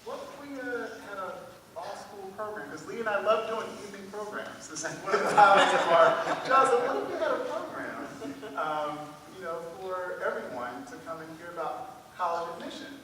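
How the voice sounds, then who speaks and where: A man's voice: high-pitched, expressive, normal speed, crowd noise. A man co-hosting a panel as a school counselor, introducing it to an audience in an informal, loosely phrased, hesitant way. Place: large echoing hall at a public event. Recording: echoey hall, distant mic, clear noise.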